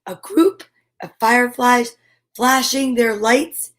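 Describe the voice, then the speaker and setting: Slow, high-voiced woman reading a storybook. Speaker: storyteller and host. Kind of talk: read-aloud children's picture book storytime with chatty asides.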